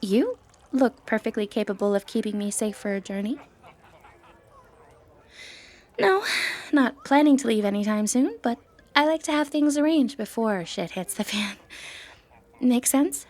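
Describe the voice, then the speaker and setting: A woman's voice: a little high, lively intonation, moderate pace, darkish tone. A woman acting a sly, flirtatious thief in a fantasy ASMR roleplay, with a teasing, confident, wry way of talking. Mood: cool bargaining.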